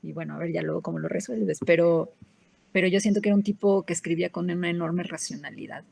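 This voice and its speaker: A woman guest speaks thoughtfully and in a measured way, explaining her ideas with care. Her voice sits low, with a gravelly edge. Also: darkish tone. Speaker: guest, translator. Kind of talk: casual conversation.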